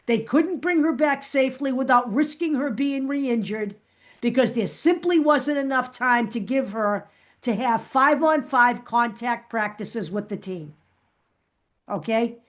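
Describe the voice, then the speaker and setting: A woman with a fairly high voice hosts a fan vlog. She talks casually and directly to viewers. She makes her points emphatically and often punctuates them with a questioning "okay?". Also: unhurried.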